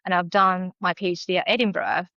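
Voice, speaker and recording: A woman with a Japanese accent, a university dean speaking as a podcast guest in a thoughtful, measured, academic way. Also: lowish voice, fairly even intonation, pushed and bright, no echo, lo-fi sound. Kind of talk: podcast interview.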